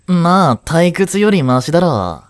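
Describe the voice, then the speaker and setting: A man playing a laid-back, cheeky, protective male high school student in a romantic audio drama, with casual, slangy, reassuring delivery. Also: sing-song, unhurried, smooth, no room sound, clean studio sound. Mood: gentle, concerned.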